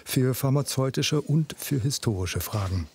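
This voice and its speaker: A man narrating a TV report in an even, informative voice-over. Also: medium pitch, unhurried, a little hiss or hum. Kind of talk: scripted TV narration. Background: music.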